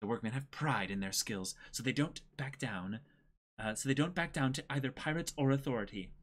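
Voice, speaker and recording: A man's voice: mid-range voice, a little fast, fairly bright tone. A man in his twenties co-hosting a dramatic reading, moving between casual chat and reading from a script. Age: 20s. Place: small indoor room, seated before a backdrop.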